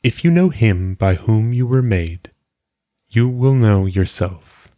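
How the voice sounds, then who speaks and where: A man reading philosophical maxims aloud in a solemn audiobook style, with measured, deliberate phrasing. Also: lowish voice, mellow, no echo. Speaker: reader.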